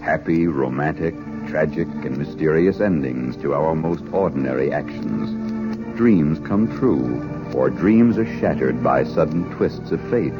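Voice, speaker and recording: A man with a deep voice narrates like a 1940s radio mystery announcer. His delivery is theatrical and portentous, sweeping widely in pitch. The recording is dull and old, with loud organ music. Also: expressive, a little slow. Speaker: announcer of a radio drama series.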